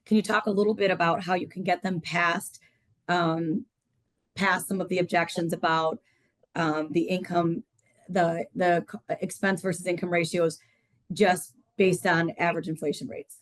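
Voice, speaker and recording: A woman's voice: on the low side, little pitch movement, moderate pace, no echo. A woman hosting an interview in a conversational style, putting questions to a guest. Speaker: host interviewer.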